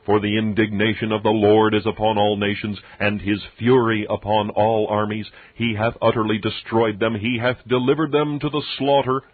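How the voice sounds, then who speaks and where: A man reads religious text aloud as an audiobook narrator, in a solemn, measured and emphatic preacherly manner. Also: on the low side, flat delivery, no echo. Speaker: audiobook reader. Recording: dull muffled radio-like sound, very dry.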